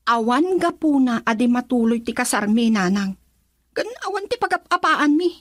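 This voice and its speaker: Young bride-to-be, Ilocano accent, very high voice, defensive, dry radio drama sound. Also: female voice, expressive, normal speed, no echo. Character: Rosela, young woman about to marry, defensive.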